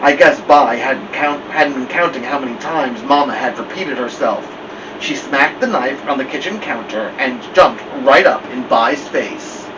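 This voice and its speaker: A man reading a storybook aloud as a story-time host, with expressive narration. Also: mid-range voice, a little slow. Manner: expressive read-aloud delivery. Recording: muffled lo-fi, distant mic, loud hiss.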